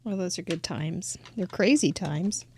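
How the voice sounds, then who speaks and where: A middle-aged woman hosting a casual interview, chatty and quick to laugh, with a squeaky high voice. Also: a little mellow, a little hiss or hum. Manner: chatty, laughs often, trailing restarts. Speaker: host of a live crafting show.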